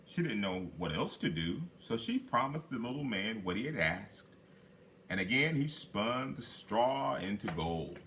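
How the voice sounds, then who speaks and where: A man reading a children's fairy tale aloud as a storyteller, with dramatic, drawn-out phrasing for emphasis. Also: lowish voice, big pitch swings, a bit bright, a little hiss or hum. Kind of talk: expressive read-aloud fairy tale narration.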